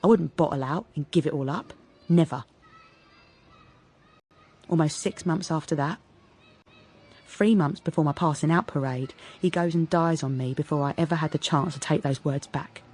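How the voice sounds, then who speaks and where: A woman's voice: sits low, normal speed, long pauses, a little mellow, breathy edge. An actress playing a brash, combative young woman with a London accent, cheeky, blunt and streetwise, in a radio drama. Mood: defiant, reflective. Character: brash, combative, teasing working-class young woman, ex-soldier. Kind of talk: radio drama monologue and dialogue.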